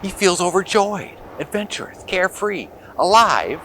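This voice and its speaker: A man reading a picture book aloud to children in an expressive, dramatic storytelling manner. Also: high voice, slight background noise, poor audio. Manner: expressive, dramatic pauses and exclamations.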